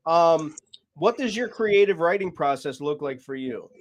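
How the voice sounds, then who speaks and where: A man's voice: on the high side, lively intonation, a little slow. A man hosting a casual podcast interview, asking laid-back, joking questions. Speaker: podcast host.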